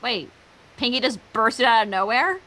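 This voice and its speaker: A woman's voice: fairly high pitch, expressive, moderate pace, pushed and bright. A woman chatting casually as a guest, reacting to a story being read.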